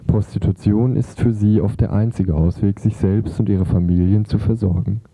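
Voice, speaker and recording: A man's voice: lowish voice, moderate pace, dark tone, no room sound. A man narrating a scripted report as a radio reporter. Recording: dull, lo-fi radio recording.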